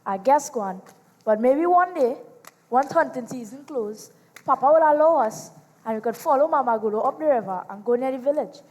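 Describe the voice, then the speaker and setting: A woman's voice: high-pitched, expressive, normal speed, darkish tone. A female performer playing a small, easygoing young forest creature with a patient, matter-of-fact stage manner. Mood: patient, hopeful. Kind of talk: live stage play. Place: theatre stage in a large venue. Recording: echoing hall.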